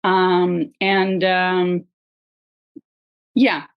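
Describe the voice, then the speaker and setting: A woman with a Russian accent, speaking as an artist guest in a casual interview, hesitant with frequent pauses and fillers. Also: fairly low pitch, flat delivery, clear, resonant tone, lo-fi sound. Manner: hesitant, many ums and uhs, laughs lightly.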